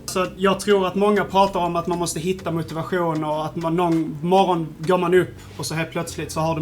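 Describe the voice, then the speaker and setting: A man talking casually as an interviewed music producer, explaining his views directly in short, assertive statements. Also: on the high side.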